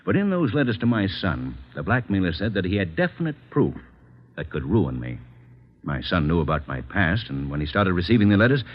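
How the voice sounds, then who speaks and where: Deep, grave older politician. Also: male voice, very animated, fairly quick. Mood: troubled. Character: earnest, dignified older male politician and former judge. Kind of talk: 1940s radio acting. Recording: dull old radio broadcast recording.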